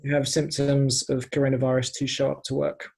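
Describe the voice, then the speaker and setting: A man's voice: mid-range voice, monotone, fairly quick, creaky, a little mellow, a little hiss or hum. Casting: male podcast co-host, mid register, hesitant informal storyteller. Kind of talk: casual anecdote telling.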